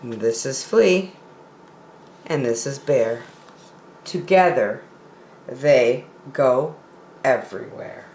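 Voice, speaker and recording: A woman reading picture books aloud expressively for children, with measured dramatic pauses, in a roomy space. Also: rumbling low, expressive, darkish tone, a little hiss or hum. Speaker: children's story time reader.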